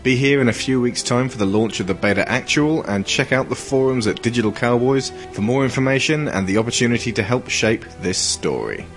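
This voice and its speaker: A man's voice: mid-range voice, brisk, hardly any pauses. A man delivering scripted narration as the narrator of an audio drama. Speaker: narrator and creator. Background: music.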